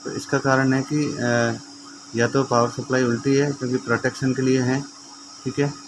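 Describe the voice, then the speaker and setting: A man's voice: medium pitch, flat delivery, normal speed. Man explaining repairs, noisy recording. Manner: explanatory, repeatedly checking in with viewers. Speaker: repair tutorial presenter. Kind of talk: informal hands-on repair demonstration. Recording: clearly noisy amateur recording with ambience.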